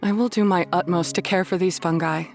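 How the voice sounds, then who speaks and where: A woman playing a proud but humbled beast tamer in a video game, speaking in a measured, formal and sincere way. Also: lowish voice, steady tone, gravelly, a little mellow, soft background music.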